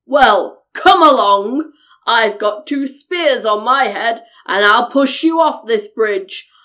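A woman voicing a big, brave, defiant billy goat for a storybook, with a loud, hoarse voice. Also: fairly high pitch, expressive, slow pace, pitched below the performer's own voice, full character voice. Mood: bold, defiant. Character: biggest billy goat, brave, heavy, defiant brute-fighter.

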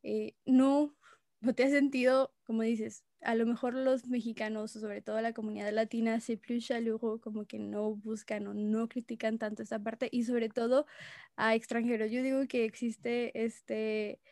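A woman's voice: a little high, flat delivery, moderate pace, a little mellow, dry recording, studio quality. A woman interviewing a guest, asking long, winding questions.

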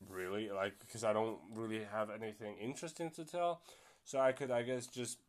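Rambling young male podcast host. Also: medium pitch. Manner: rambling, swears casually, many fillers. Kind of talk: casual solo podcast chat.

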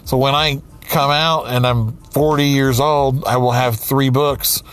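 A man around forty speaking slowly and candidly, pausing and restarting as he thinks aloud. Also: mid-range voice, fairly even intonation, a bit bright, clear, resonant tone. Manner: slow, halting, with many restarts and pauses. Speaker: aspiring writer, comedian and podcaster. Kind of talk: reflective personal monologue.

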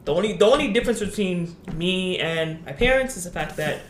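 A woman's voice: on the low side, expressive, a little fast. A woman from New York, co-hosting a podcast in casual chat, who speaks emphatically and animatedly with frequent restarts. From New York, USA. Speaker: podcast co-host. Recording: roomy echo, faint noise.

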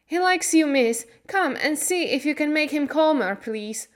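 A woman voicing an anxious, excitable servant woman in a storybook, urgent and pleading. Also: high-pitched, normal speed, voice pushed up. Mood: panicked pleading.

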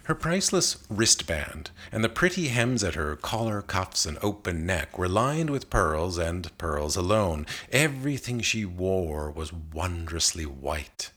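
A man reading a narrative poem aloud as a scripted literary recitation, in a measured and carefully enunciated manner. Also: sits low, sing-song, a bit bright. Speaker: poetry reader.

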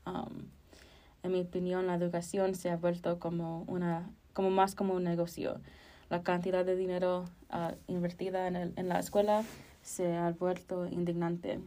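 A woman's voice: fairly low pitch, level tone, normal speed, dark tone. A woman, co-hosting a casual podcast discussion in hesitant, self-correcting phrases. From Chicago, United States. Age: early 20s. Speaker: podcast co-host.

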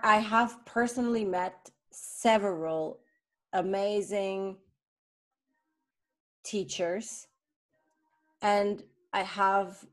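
A woman from Switzerland co-hosting a podcast, talking casually with animated restarts and fillers. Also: on the low side. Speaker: podcast co-host. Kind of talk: casual conversation.

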